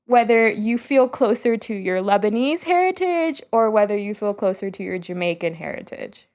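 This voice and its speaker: A woman's voice: mid-range voice, sing-song, normal speed. A young woman hosting a podcast, asking questions casually with lots of laughter and fillers. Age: young adult. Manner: warm and laughing, with many fillers. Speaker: podcast host. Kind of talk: casual interview.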